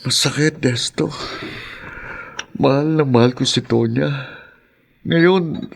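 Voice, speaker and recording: A man's voice: mid-range voice, slow pace, creaky. A man with a Filipino accent playing a grief-stricken, drunken middle-aged widower, bitter and harsh, in a radio drama. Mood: anguished, bitter.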